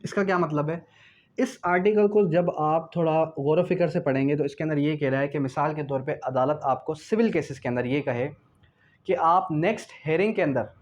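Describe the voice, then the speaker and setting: Male law lecturer, slightly high voice, didactic question-and-answer delivery, dull lo-fi recording. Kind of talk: explanatory law lecture mixing read-out English clauses with explanation. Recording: dull lo-fi sound.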